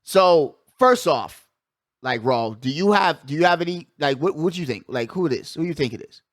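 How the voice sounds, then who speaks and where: A man's voice: a little high, expressive, moderate pace, no echo. A man hosting a sports show, talking casually with strong opinions in a slangy, emphatic, conversational way, often repeating his phrases.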